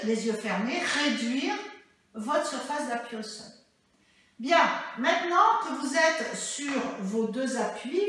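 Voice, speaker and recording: High bright-voiced woman instructor in an echoey room. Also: recorded from a distance. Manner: calm, instructive, with frequent "hein". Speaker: fitness instructor. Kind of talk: guided balance exercise class. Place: bare living room with hard floor, indoors. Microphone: far camera.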